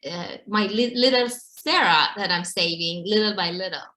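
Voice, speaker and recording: Bright-voiced woman. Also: mid-range voice, normal speed, reverberant. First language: Farsi. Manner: earnest, many restarts and repeats. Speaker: guest. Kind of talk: casual interview talk.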